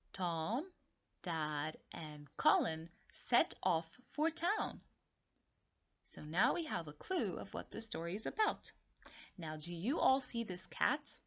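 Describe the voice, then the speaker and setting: A woman teacher leading a read-along story lesson for young children, explaining things slowly and deliberately with repetition. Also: on the low side, very animated, creaky, a bit bright, highs cut off. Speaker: teacher reading aloud.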